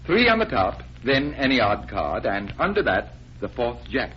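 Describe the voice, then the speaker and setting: An actor playing an assured, genial stage magician in 1940s American radio style, explaining with a deliberate showman's manner. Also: mid-range voice, big pitch swings, lightly acted. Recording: muffled, noisy vintage radio recording.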